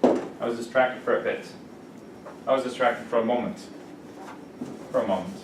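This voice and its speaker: A man teaching an English vocabulary lesson to a class, playful and quick to laugh, giving example sentences. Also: mid-range voice, very noisy. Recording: echoey classroom, camera from a distance.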